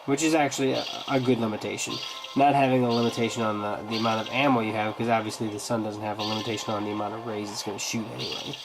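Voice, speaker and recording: A man's voice: fairly low pitch, little pitch movement, fast, music in the background, low-quality recording. Congested man giving a casual app review. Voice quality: stuffed-up, nasal. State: congested, getting over a cold. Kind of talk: casual review commentary over a gameplay demo.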